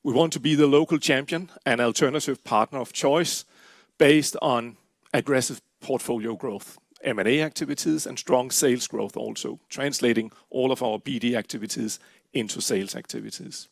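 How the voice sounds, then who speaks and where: Danish-accented man giving a company pitch. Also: moderate pace, gravelly, no room sound. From Denmark. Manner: businesslike, steady with frequent uh fillers. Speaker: company presenter. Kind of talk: investor presentation.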